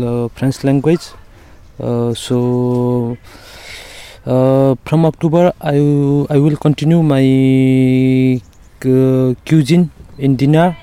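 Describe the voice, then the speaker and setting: A man's voice: mid-range voice, mellow, clean tone. A man from Nepal, answering an interviewer in hesitant English, with many fillers. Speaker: student, interview guest.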